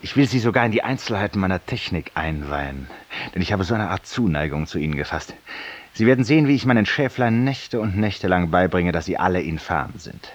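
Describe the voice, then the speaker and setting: An actor in a 1950s German radio drama playing a cynical, self-accusing former lawyer who confides in a stranger with theatrical fervour and hush, in a husky voice. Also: male voice, low voice, animated, brisk. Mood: exalted, confiding.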